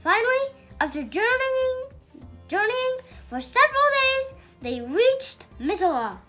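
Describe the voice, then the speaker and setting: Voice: very high-pitched, sing-song, unhurried, loud music behind the voice. A child reading a mythological storybook aloud in a careful, emphatic way, with occasional stumbles over words.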